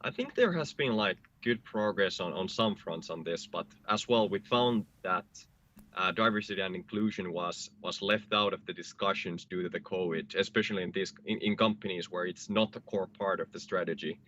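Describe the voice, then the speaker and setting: Man on a panel, very deep voice, dull call audio, faint background noise. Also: fairly bright tone. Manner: earnest, halting repeats. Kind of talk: panel discussion.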